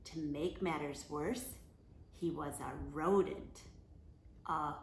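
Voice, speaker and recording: A woman reading a children's picture book aloud in a deliberate, expressive storyteller's manner. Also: sits low, gravelly, roomy sound, slight background noise. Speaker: story time reader.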